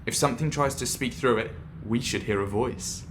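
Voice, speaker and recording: A man playing an excitable, cheeky young ghost hunter in an audio drama, chatty, eager and teasing. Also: mid-range voice, normal speed, noisy background, close to an everyday voice. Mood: casual. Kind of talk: naturalistic audio drama acting.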